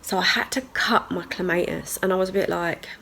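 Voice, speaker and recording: A woman's voice: on the low side, fairly quick, bright, forceful tone, a little hiss or hum. Stuffy-nosed woman vlogging. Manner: chatty, rambling, lots of "like" and "so yeah". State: stuffy nose from a cold. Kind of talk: casual video diary chat. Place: at home and in the garden.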